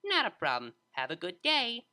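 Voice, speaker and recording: A woman's voice: medium pitch, wildly swooping pitch, normal speed, pushed and bright. A woman playing a friendly, distinguished older pony official in an audio story, composed and authoritative. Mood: cheerful.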